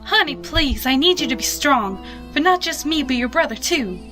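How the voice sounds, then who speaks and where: A woman playing an elderly grandmother pony in a story, caring and sorrowful, pleading gently over music. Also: sits high, expressive, normal speed, pitched above the performer's own voice. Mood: pleading, tender. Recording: music behind the voice.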